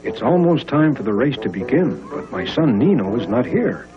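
A man's voice: mid-range voice, sing-song, normal speed, highs cut off, music in the background, natural voice. A man narrator voicing a worried, friendly cartoon father with an anxious, hesitant manner.

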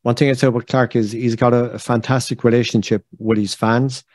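A man's voice: mid-range voice, little pitch movement, fairly quick, darkish tone, no room sound. A man hosting a podcast in a conversational style. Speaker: podcast host.

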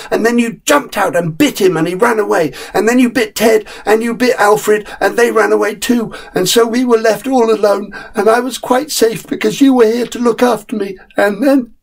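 A man's voice: high-pitched, gravelly, voice pushed up. A man voicing a small, tired boy in a storybook, bravely spinning a tale in an earnest, childlike, breathless way. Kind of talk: dramatic short story reading.

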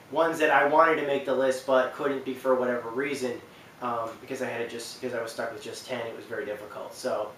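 A man in his twenties hosting a video, talking casually and a little haltingly to the camera. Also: medium pitch, fairly bright tone. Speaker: video host presenting a ranking. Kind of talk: casual unscripted talk to camera. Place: small indoor living room on a couch. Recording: roomy, distant mic, faint noise.

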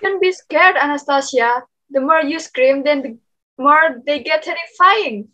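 A woman's voice: sits high, a little slow, sharp tone, lo-fi sound. A woman playing a meek, kind but steadfast young girl in a readers theater. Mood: apologetic, reassuring. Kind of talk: readers theater acting on video call.

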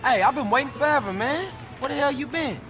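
A man's voice: very high-pitched, expressive, brisk, over music. An actor playing a streetwise young male gang member, talking in casual street slang. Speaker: game protagonist.

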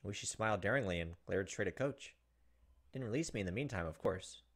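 A man's voice: on the low side, lively intonation, brisk. A man in his thirties hosting a let's play, reading game narration aloud with casual asides.

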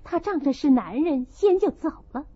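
A distraught, ailing young maidservant played by a woman narrator speaks in a high voice with big swings of pitch. She sounds emotional and grateful, as in a storybook reading. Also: very animated, moderate pace, warm tone, lo-fi sound. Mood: bitter, hurt.

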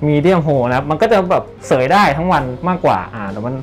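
A man's voice: a little high, lively intonation, fast. A young man working as a senior barber, explaining a haircut and styling steps politely while working in a barbershop. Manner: polite, explanatory, often ends phrases politely. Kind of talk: hands-on styling demonstration. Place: barbershop indoors.

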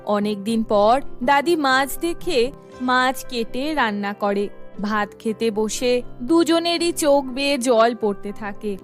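High-voiced woman narrating slowly. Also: soft background music. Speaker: storyteller narrator. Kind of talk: scripted children's story narration.

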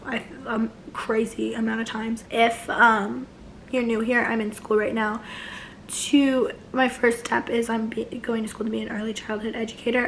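A young woman in her twenties with a hoarse, failing voice, chatting casually to camera from her couch, rambling with many restarts. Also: fairly high pitch, a bit bright, slight background noise, poor audio. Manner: rambling, many likes and restarts, gestures a lot. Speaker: vlogger. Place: living room couch, indoors.

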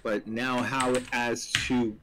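A man's voice: medium pitch, little pitch movement, slow. A man chatting casually in an informal, conversational way. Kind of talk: casual chat. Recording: clear typing and clicking noise. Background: keyboard typing and clicks.

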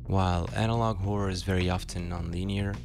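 A man's voice: low-pitched, fairly even intonation, fairly quick. A man narrating a scripted video essay with dry, wry asides. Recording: music behind the voice.

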